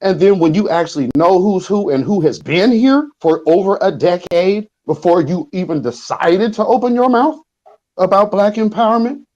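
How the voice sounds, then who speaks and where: High-voiced guy ranting and scolding folks, kinda muffled lo-fi audio. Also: normal speed, darkish tone. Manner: scolding, confrontational. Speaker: caller in an audio chat room. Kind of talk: heated rant.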